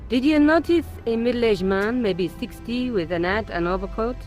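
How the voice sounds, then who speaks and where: A woman voicing a cool, businesslike young French photojournalist in a video game, brisk and guarded. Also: on the high side, a little mellow, soft background music, close to an everyday voice.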